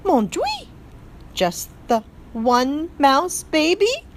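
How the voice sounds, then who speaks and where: A woman's voice: high voice, very animated, extremely slow, pitched above the performer's own voice, full character voice. A woman voicing a vain, melodramatic mother mouse with a put-on French accent, wailing and sighing.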